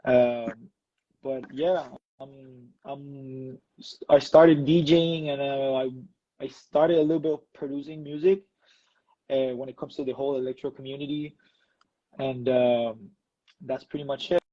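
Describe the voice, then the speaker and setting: A man's voice: mid-range voice, fairly even intonation, measured pace, dark tone, dry recording, highs cut off. A man from Ecuador, an electro dancer, talking casually in an interview with many fillers and restarts.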